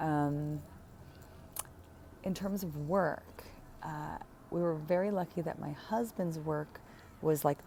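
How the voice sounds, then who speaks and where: Low, gravelly woman vlogging casually. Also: darkish tone, slight background noise. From United States. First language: English. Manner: chatty and rambling, many fillers and self-corrections, frequent light laughs. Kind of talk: casual personal vlog.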